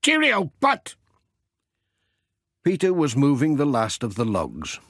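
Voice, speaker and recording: A man narrating a children's story as an audiobook reader, with expressive storytelling delivery. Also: mid-range voice, normal speed, no echo, clean studio sound.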